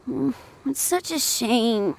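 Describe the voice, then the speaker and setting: A woman voicing an excitable, sweet little boy in a video game, childlike and eager. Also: fairly high pitch, slow, vocal fry, exaggerated voice, rough recording. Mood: grateful.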